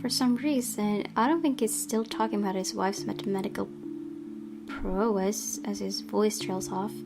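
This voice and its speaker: A woman's voice: medium pitch, steady tone, moderate pace, creaky. Woman narrating a visual novel over guitar music. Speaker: gameplay host reading aloud.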